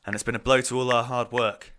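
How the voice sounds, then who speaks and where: A man's voice: mid-range voice, little pitch movement, fast, close to an everyday voice. A man playing a smug, gossipy young student thespian in an audio drama. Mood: smug, theatrical. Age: young adult. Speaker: actor. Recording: noisy background with clicks.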